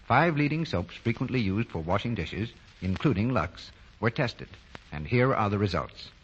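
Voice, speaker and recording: A man's voice: fairly low pitch, muffled recording. An American man reading scripted commercials and credits as a 1940s radio announcer. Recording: noisy, band-limited 1940 radio broadcast.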